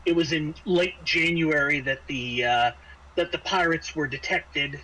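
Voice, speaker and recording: A man's voice: on the high side, unhurried, sharp tone, poor audio. A man running a tabletop roleplaying game as game master, narrating off the cuff and often repeating and restarting his phrases. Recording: faint background noise.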